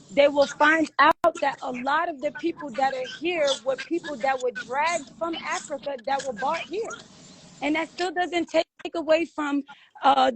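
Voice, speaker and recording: A woman with a high voice, whose first language is Arabic, talks as a livestream guest. She lays out her points earnestly and at length. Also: a little hiss or hum, low-quality recording. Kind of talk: casual livestream talk.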